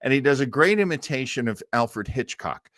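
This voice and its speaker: A man's voice: medium pitch, animated, moderate pace, fairly bright tone, no echo, studio quality. A man in his fifties hosting a livestream with casual, enthusiastic, rambling commentary. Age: 50s. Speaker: livestream host.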